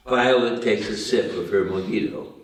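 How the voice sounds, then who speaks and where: A man's voice: on the low side, normal speed. A man reading stage directions as scripted narration at a table read. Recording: very echoey room, far from mic.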